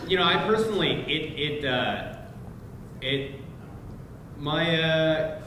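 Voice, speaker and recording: A man actor giving casual remarks at a live Q&A, stammering and repeating his words. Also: on the high side. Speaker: actor at a table read answering questions. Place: live event room. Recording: very echoey room with noise.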